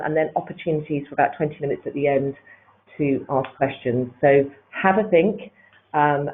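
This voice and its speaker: Guy hosting a webinar, cheery and a bit rambly, higher voice, thin call audio. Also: narrow, tinny audio, a little hiss or hum. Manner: upbeat, welcoming, a little rambling. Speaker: webinar moderator. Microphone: video call.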